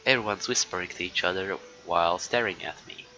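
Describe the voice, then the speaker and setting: A man with a fairly low, bright, forward voice streams a game on a muffled microphone with faint noise behind him. He talks loosely to his chat, swears casually and reads on-screen text aloud. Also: brisk. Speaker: live streamer. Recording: dull lo-fi mic with background noise.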